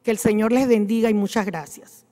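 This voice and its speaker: A woman's voice: mid-range voice, fairly even intonation, brisk, warm tone, long reverb tail. Woman reading church announcements. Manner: reads announcements, corrects herself. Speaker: parish announcer. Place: church lectern.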